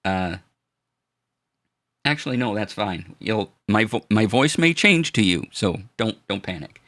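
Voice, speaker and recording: A man with a low voice explaining audio gear in a relaxed, halting live-stream demo, full of fillers and restarts. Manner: greets chat viewers warmly. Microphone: studio dynamic mic.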